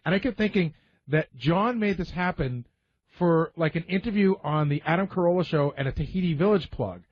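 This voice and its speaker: A man's voice: a little high, a little fast, no room sound. A man in casual radio talk, telling stories as a member of the show's staff. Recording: dull, muffled lo-fi audio.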